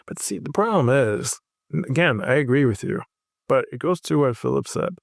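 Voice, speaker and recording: A man's voice: mid-range voice, expressive, normal speed, vocal fry, no echo, studio quality. A man from Haiti on a roundtable panel, talking emphatically and repeating his points for stress. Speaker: physician panelist. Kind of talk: roundtable discussion.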